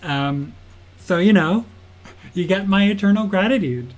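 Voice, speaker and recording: A man's voice: high voice, a little slow, low-quality recording. A man hosting a casual game jam livestream, chatting in a friendly way with many ums and uhs and answering viewers' questions from chat. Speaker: game jam stream host.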